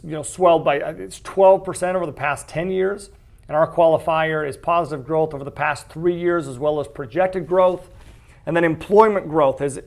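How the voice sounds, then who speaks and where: A man's voice: on the high side, creaky. A man presenting a real estate investment walkthrough in a conversational, explanatory way, often checking in with the listener. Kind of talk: webinar-style investment pitch, informal scripted walkthrough.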